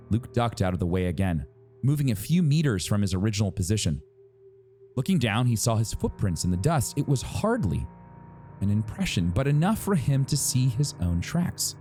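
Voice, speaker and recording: A man reading a story aloud as a dramatic narrator, with suspenseful, deliberate phrasing and pauses. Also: mid-range voice, a little mellow. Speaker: narrator reading a novel excerpt. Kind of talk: dramatic audiobook-style narration.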